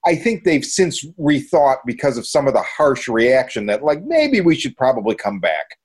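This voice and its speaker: A man's voice: medium pitch, sing-song, normal speed, darkish tone, breathy edge. A man co-hosting a podcast, giving his opinions in informal, casual talk and reasoning aloud as he goes. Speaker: podcast co-host.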